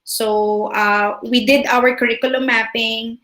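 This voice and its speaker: Slow-spoken Filipino woman, echoey room. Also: fairly high pitch, steady tone, fairly bright tone. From Philippines. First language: Tagalog. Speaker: school administrator hosting a parent orientation. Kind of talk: informal question-and-answer presentation to parents. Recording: roomy, echoing online meeting audio.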